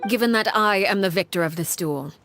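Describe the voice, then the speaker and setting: A woman playing a stern, cold head of a secret house in a video game, speaking in a measured, commanding way. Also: medium pitch, a bit bright, close to an everyday voice. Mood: calm menace. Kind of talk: dramatic video game voice acting. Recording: music behind the voice.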